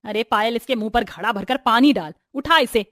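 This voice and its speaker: High-pitched woman playing a middle-aged mother-in-law, domineering, sarcastic, scolding, family storybook tale. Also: normal speed, no echo, studio quality, close to an everyday voice. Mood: bossy, sarcastic. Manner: sarcastic, domineering, sharp-tongued.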